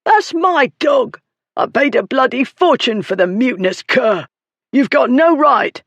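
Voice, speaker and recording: A hoarse, high-strained furious bully. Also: male voice, lively intonation, unhurried, a bit bright, no echo, exaggerated voice. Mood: outraged. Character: brutish bully, furious, foul-mouthed, choking man.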